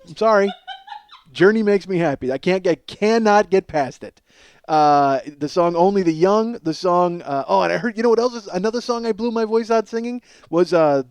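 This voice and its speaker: A man in his forties with a slightly hoarse voice, hosting a podcast as a casual comedic monologue, rambling, profane and self-deprecating. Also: high-pitched, a little mellow, dry recording. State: slightly hoarse from strained singing. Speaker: podcast host.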